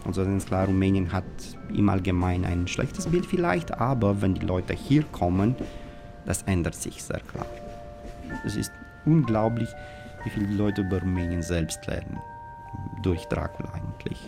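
Deep gravelly man. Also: animated, moderate pace, over music. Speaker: local interviewee. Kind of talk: unscripted interview talk.

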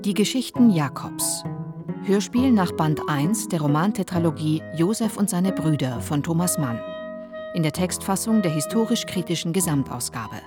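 A woman announcer reading radio play credits in a crisp, formal way. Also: on the low side, animated, normal speed, music in the background.

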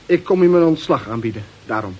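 A man's voice: mid-range voice, expressive, quick pace, husky. An actor in an old radio drama playing a cheeky young male employee, mock-polite and hesitant, who spins tall tales. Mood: deferential, dry. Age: young adult. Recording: dull old radio play recording.

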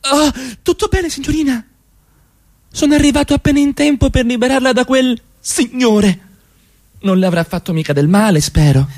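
A man's voice: very high voice, normal speed, darkish tone, voice pushed up. An actor playing a polite, fussy, married male park keeper in a radio play. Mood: solicitous.

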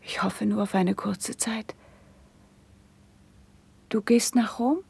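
A noble princess in classical radio verse. Her voice is breathy, with a creaky edge, at a middling pitch. She speaks softly and with restrained concern. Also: fairly even intonation, slow pace, full character voice.

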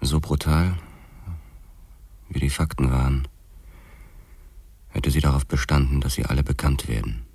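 An actor playing a weary police detective, narrating a murder case in sombre, deliberate confession for a radio drama. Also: male voice, rumbling low, steady tone, a little mellow, no echo, heavily acted. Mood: sombre, brooding. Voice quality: gravelly, husky. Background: faint music.

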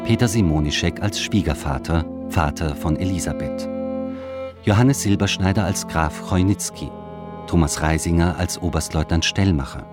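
A man's voice: sits low, moderate pace. A man reading scripted radio drama credits as an announcer. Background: loud guitar music.

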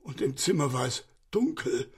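An older man playing a distraught, deferential elderly manservant in a radio crime comedy, speaking in an agitated, halting way. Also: mid-range voice, very animated, breathy edge. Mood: bewildered, sorrowful.

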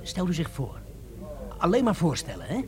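An actor playing a curious, eager schemer who is plotting a robbery in a Dutch radio play. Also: male voice, on the high side, huge pitch swings, normal speed, a crowd in the background. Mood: scheming, persuasive.

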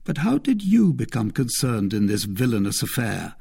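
A man's voice: mid-range voice, sing-song, normal speed, vocal fry, darkish tone, slightly rough, full character voice. A man voicing a commanding young swordsman of twenty, stern and imperious, in an audiobook. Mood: probing. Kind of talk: dramatised audiobook character voice.

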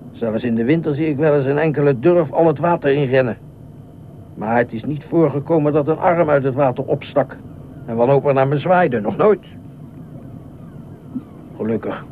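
An actor in a Dutch radio play voicing a fussy, anxious, meddlesome beach regular who speaks formally and insistently. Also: male voice, mid-range voice, phone-quality sound, natural voice. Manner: formal, insistent, probing questions. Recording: noisy, thin band-limited old radio recording.

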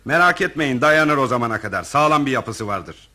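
An actor in a radio play voicing a callous, self-serving office director who talks in an officious, evasive, digressive way. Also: male voice, mid-range voice, lively intonation, moderate pace, bright, forceful tone. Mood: evasive, dismissive. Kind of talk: radio theatre acting.

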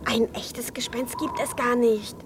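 Plucky, high-voiced little chick, played by a woman. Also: fairly even intonation, background noise, natural voice. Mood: confident. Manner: brisk, sceptical, practical. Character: plucky, matter-of-fact young chick. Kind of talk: children's radio play acting.

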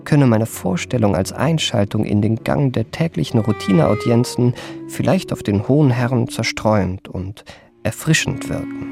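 An actor playing a suave young impostor posing as a marquis in a radio drama, with ornate, courtly diction. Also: male voice, medium pitch, creaky. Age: young adult. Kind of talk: first-person literary radio drama narration with acted dialogue. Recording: studio radio play with music. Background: music.

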